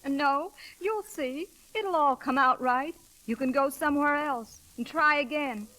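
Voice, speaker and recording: A woman's voice: high voice, a little slow, bright, forceful tone. An actress playing a kindly, hopeful woman cook who consoles, in 1930s radio acting style. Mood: consoling. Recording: noisy, hissy vintage radio recording.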